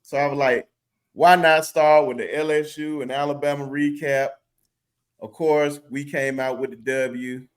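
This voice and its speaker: A man hosting a casual sports podcast, talking with excited, rising bursts. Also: fairly high pitch, moderate pace. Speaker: podcast host.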